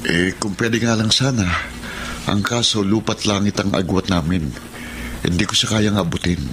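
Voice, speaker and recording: A man's voice: on the low side, steady tone, moderate pace, a bit bright, lightly acted. A man playing a shy, modest young athlete in a radio romance, speaking hesitantly with a Filipino accent. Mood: modest, resigned. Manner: bashful, hesitant. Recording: clear background noise and ambience.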